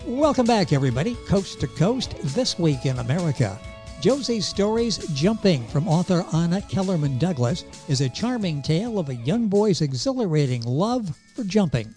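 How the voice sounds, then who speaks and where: A man's voice: on the high side, warm tone. A man hosting an interview program, genial and upbeat with an announcer's delivery. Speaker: radio talk show host.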